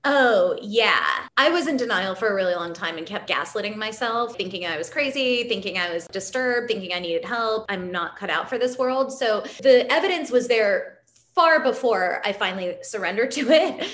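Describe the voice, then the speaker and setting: A woman's voice: medium pitch, lively intonation, normal speed, fairly bright tone. A woman from California speaking candidly and reflectively as a guest in a casual interview, explaining her ideas as a spiritual teacher. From California, United States, immigrated at four.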